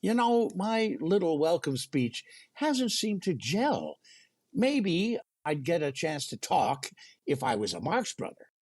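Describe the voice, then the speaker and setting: Swooping, befuddled radio comic. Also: male voice, a little high, sing-song, slow pace, breathy edge, exaggerated voice. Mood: flustered, formal. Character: befuddled, formal, long-suffering male radio comedian. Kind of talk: 1930s radio comedy acting.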